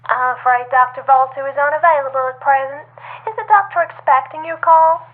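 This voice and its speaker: High-pitched female receptionist on the phone. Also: fairly quick. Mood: polite, businesslike. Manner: polite, scripted customer-service formality. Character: polite, brisk, unhelpful female corporate receptionist. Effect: telephone filter. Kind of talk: audio drama acting, phone call. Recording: thin narrow telephone line.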